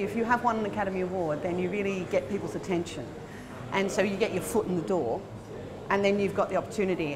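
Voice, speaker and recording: An Australian woman in her forties talking conversationally in an interview as a film producer, in an animated, emphatic, informal manner. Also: medium pitch. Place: indoor room by a bright window at a festival. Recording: chatter of people in the background.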